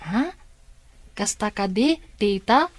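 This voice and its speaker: Curious, slow-talking Ilocano child. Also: female voice, medium pitch, expressive, no echo. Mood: wondering. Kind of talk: Ilocano radio comedy-drama acting.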